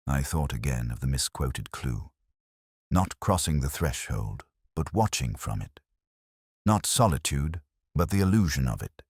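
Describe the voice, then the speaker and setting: A brooding police inspector, played by a man, narrates a detective story in an extremely low, husky voice. The delivery is measured, solemn and literary, with a lively rise and fall across phrases. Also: no room sound, clean studio sound, heavily acted. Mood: brooding, reflective. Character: brooding, world-weary male police inspector recounting a case. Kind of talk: scripted first-person detective narration.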